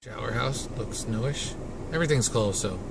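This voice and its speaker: A man's voice: medium pitch, moderate pace, gravelly. A man casually narrating a rainy fall hike and campground tour, with offhand, wry asides. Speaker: travel vlogger. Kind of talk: casual narration while hiking and driving. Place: outdoors on forest trails and a campground in the rain. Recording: noisy outdoor camera audio.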